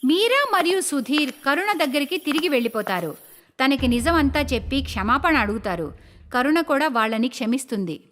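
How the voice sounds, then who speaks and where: A woman storyteller narrates a cartoon moral tale from a script. Her voice is very high and animated in its rise and fall, with clear noise behind it. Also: moderate pace.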